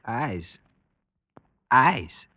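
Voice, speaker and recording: A blind villager of an isolated valley in an old radio play. He speaks slowly and solemnly, with stilted phrasing and puzzled disdain, in a dry studio sound at medium pitch. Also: sing-song, bright, forceful tone, no room sound, exaggerated voice. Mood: puzzled, disdainful. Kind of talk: old-time radio drama acting.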